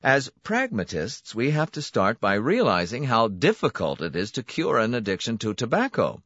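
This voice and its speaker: A man voicing a smooth, smiling, menacing clinic director who relishes his words. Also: medium pitch, huge pitch swings, moderate pace, a bit bright, no echo, highs cut off, pitched above the performer's own voice, exaggerated voice. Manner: silky, pointed, relishing emphasis.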